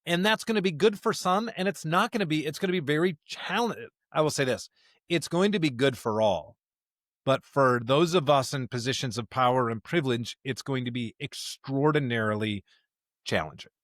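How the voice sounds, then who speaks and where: A man's voice: mid-range voice, normal speed, a bit bright, dry recording, clean studio sound. A man co-hosting a podcast, discussing scripture conversationally and emphatically, with stop-start phrasing and rhetorical questions. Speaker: podcast co-host, member of clergy.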